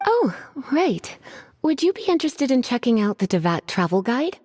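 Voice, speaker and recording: A woman's voice: on the high side, very animated, moderate pace, a little mellow, no echo, studio quality. An actress playing a languid, teasing woman librarian who drawls and sighs, in a game with faint music behind. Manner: drawling, sighing, sultry teasing.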